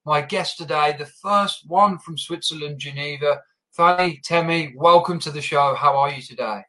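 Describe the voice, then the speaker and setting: A man speaks as a podcast host in an upbeat, conversational interview style. His voice is bright and forward, with a clear edge to it. He addresses both guest and listeners directly. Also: fairly high pitch, moderate pace. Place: based in Melbourne, live online podcast.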